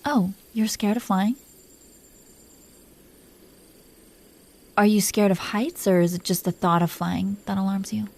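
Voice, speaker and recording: A woman playing a possessive, protective dragon queen in an intimate roleplay audio drama, teasing and coaxing the listener in soft tones that can drop toward a whisper. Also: mid-range voice, steady tone, moderate pace, vocal fry.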